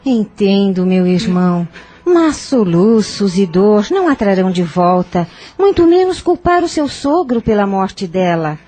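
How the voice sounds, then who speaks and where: A woman playing a wise, compassionate sister in an audio novel, earnest and consoling, with a husky voice. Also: medium pitch, very animated, unhurried, mellow. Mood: firm, consoling. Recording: music behind the voice.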